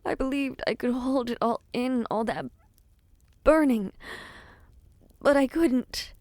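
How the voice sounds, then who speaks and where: A woman playing an anguished, self-loathing young princess in a dramatic audio roleplay, speaking in a formal, halting way. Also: high voice, a little mellow, dry recording.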